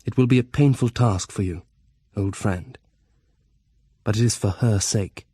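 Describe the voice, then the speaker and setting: An actor playing a worried Victorian gentleman reading out his letter to a friend in an audio drama, earnest and polite. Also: lowish voice, a little slow, breathy edge, no room sound, clean studio sound. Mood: worried, pleading.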